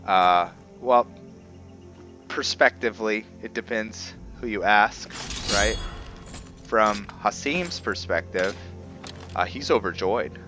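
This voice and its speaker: A man hosting a roleplay playthrough with casual game commentary, halting as he thinks aloud. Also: on the low side. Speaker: gameplay host, roleplayer. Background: soft background game music.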